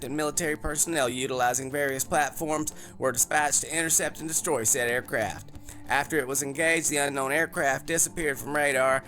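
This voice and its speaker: A man voicing an officious, well-groomed government interrogator in a suit, with a brisk, clipped, bureaucratic manner, in an audiobook. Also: medium pitch, pushed and bright, voice pushed up.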